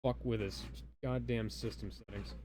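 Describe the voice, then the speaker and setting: A man's voice: mid-range voice, fairly even intonation, normal speed, a little mellow. A young man co-hosting a casual gaming stream, grumbling and swearing as he reads menu options aloud. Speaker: podcast co-host and gamer.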